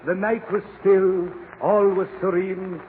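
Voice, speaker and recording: A pompous ham of a poet declaims in a high, grandiose voice. It is a 1940s radio comedy turn, on a tinny broadcast with clear background noise. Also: male voice, level tone, slow. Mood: pompous, jaunty.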